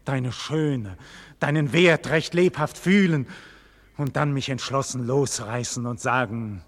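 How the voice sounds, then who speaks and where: An actor playing a dignified condemned nobleman in a 1940s German radio play, declaiming solemnly with breathy, husky murmurs and loud cries. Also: mid-range voice, slow. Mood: anguished farewell. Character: doomed nobleman facing execution, dignified, passionate, grave. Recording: vintage 1940s radio studio recording.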